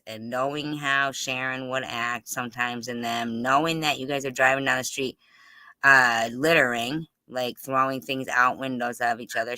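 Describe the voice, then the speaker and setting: An interviewer with a very sharp, forceful and clean-sounding voice of middling pitch. The delivery is blunt and pressing, with casual swearing, and the sound is close and dry. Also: no echo.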